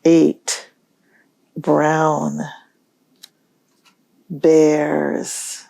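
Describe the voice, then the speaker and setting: A woman hosting a storytime for young children, reading aloud in a gentle, musing way and pausing to pose questions. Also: deep voice, monotone, gravelly, dry recording. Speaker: children's library storytime host.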